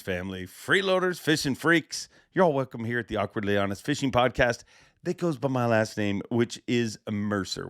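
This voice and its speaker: A man hosting his own fishing podcast, talking casually and excitedly, his voice a little raspy after a busy stretch. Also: medium pitch, no echo, studio quality. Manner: excited, emphatic, rambling. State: slightly raspy voice. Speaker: podcast host.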